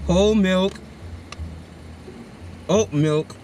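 A young American man with a high voice talks in loose slang, casual and playful. He is recorded inside a car, with music and vehicle sounds behind him. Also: drawn-out words. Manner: slangy, playfully feigning confusion. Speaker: prank vlogger, driver. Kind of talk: casual prank banter. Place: inside a car at a drive-thru. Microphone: camera in passenger seat. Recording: music over in-car camera audio.

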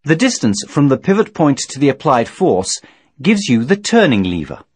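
A man reading scripted instructional narration in a measured, formal, didactic manner. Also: medium pitch, huge pitch swings, slightly rough, no echo. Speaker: training video narrator.

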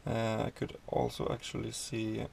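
A man in his thirties from Sweden, a truck driver giving a casual tour of his cab, explaining the controls in a halting way with many fillers and restarts. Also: lowish voice, monotone, creaky, noisy background.

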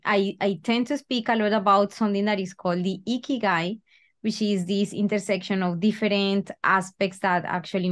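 Woman, 30s, interview host, medium pitch, slow and gracious, video call. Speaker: podcast host. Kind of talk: interview questions. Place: home room with bookshelves, indoors.

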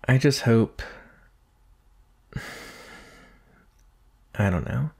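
A man's voice: lowish voice, vocal fry, a little mellow, exaggerated voice. A man playing a shy, earnest nineteen-year-old naga monster boy in a conversational ASMR roleplay, hesitant, nervous and apologetic.

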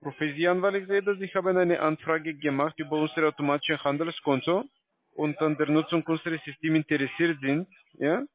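High-voiced foreign-accented man on a phone line. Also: steady tone. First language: not German. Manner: halting, non-native grammar. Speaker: call-centre salesman. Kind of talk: scripted phone sales pitch. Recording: dry, tinny phone line.

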